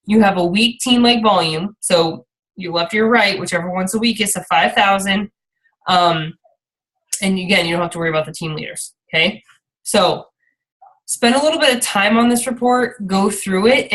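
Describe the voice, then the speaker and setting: A woman's voice: medium pitch, moderate pace, a bit bright, poor audio. A woman leading an informal motivational team training call, rambling a little and often checking in with her listeners as she encourages them. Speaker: team leader and coach hosting a call.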